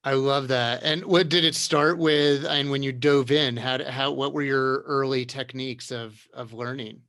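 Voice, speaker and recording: A man's voice: medium pitch, steady tone, moderate pace, fairly bright tone, no room sound, clean studio sound. A man moderating an online round-table, chatty and encouraging, often restarting his questions.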